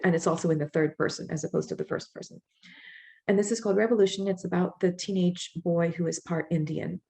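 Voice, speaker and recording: A woman's voice: medium pitch, creaky, warm tone. A woman author with a Midwestern accent, speaking casually at an online library event and then reading from her stories, with some fillers and restarts. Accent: Midwestern American. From northeast Ohio.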